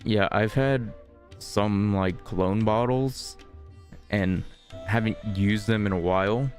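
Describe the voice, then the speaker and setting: Casting: a wry gameplay streamer with a low voice and casual delivery. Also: slow pace, soft background music. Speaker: gaming streamer.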